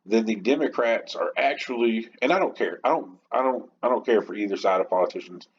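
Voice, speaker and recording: A man's voice: on the low side, a little fast. A man hosting a podcast, talking in an informal, passionate, rambling monologue without a script. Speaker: podcast host. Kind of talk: unscripted rant-style podcast monologue. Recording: dull lo-fi audio with clear background noise.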